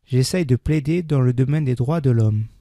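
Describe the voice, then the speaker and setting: A man with a dark, gravelly voice reads a beginner French text at a measured pace. He repeats each sentence with careful diction. The recording is dry and close, with no room sound. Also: medium pitch, no echo. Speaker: French language teacher. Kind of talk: slow scripted reading for learners.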